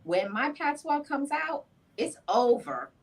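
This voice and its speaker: A woman's voice: sits high, very animated, slow. A woman from Jamaica hosting her vlog, chatting playfully and defending herself with a laugh. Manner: playful, insists she is sweet and shy. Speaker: vlogger host. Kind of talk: casual chat.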